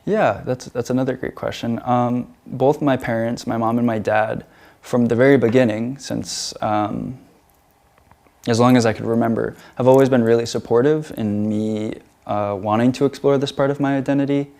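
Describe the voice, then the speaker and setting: A man's voice: medium pitch, steady tone, normal speed, vocal fry, a little mellow. A young man from the United States, a featured artist answering interview questions in a hesitant way, with many fillers and restarts.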